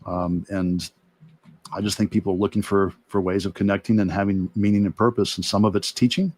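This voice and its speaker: A middle-aged man, an art teacher, talking earnestly and reflectively in halting phrases in a casual livestream discussion. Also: fairly low pitch, little pitch movement, a little mellow, no echo. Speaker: artist and illustration teacher, guest.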